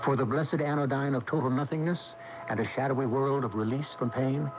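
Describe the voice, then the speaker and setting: Creaky, grave male mystery radio host. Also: medium pitch, fairly even intonation. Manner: theatrical, grave, deliberate phrasing. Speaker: host and narrator. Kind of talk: 1940s-style mystery radio narration. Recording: dull 1980 AM radio broadcast, loud music.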